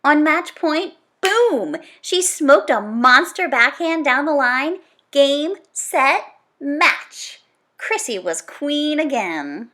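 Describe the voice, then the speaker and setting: A woman reading a children's nonfiction book aloud in a dramatic, emphatic storytelling manner, with punchy exclamations and drawn-out key words. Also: high-pitched, very animated, bright, forceful tone. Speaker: storybook read-aloud reader.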